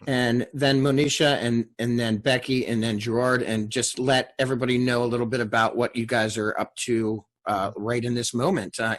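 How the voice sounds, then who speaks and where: A man hosting a casual video call discussion after a table read, rambling and halting as he talks. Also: mid-range voice. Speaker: host and writer of a table read. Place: remote group video call.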